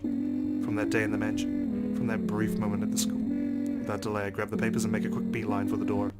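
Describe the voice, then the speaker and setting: A man's voice: lowish voice, monotone, fairly quick. A man reading a visual novel aloud as a let's play host, narrating expressively with casual asides. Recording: game music behind the voice.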